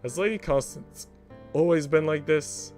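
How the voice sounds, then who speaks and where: A man's voice: on the high side, unhurried, darkish tone, soft background music, voice pushed up, lightly acted. A man voicing a passionate young woman working as a maid, earnest and indignant, in a visual novel. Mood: curious.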